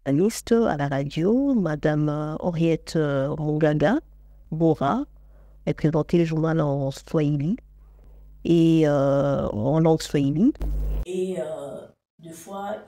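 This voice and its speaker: A Congolese woman speaks slowly and carefully, pausing often on "euh" as she recalls her childhood. Her voice is low and mellow. It sounds distant, in a roomy, echoey space with a faint hum of ambience behind her. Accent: Congolese French. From Democratic Republic of the Congo. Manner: hesitant, frequent "euh" fillers. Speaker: show creator, interviewee. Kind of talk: slow, measured personal storytelling.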